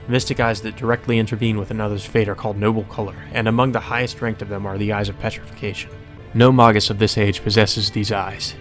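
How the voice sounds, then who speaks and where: A man reading visual novel narration aloud as a let's play, mixed with casual, sweary commentary. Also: fairly low pitch.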